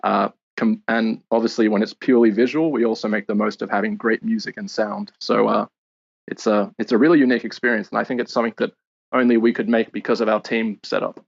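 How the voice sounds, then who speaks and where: An Australian man, a game lead designer, answering interview questions in a measured, explanatory way with filler pauses. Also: fairly low pitch, steady tone, creaky. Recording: dull lo-fi audio.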